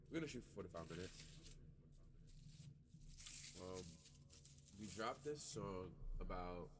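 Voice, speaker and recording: A man's voice: low-pitched, animated, measured pace, noisy background. Laid-back stuffy-nosed guy rambling. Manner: laid-back, rambling, lots of fillers and swearing. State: stuffy nose. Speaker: music artist and producer. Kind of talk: casual studio walkthrough. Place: home recording studio.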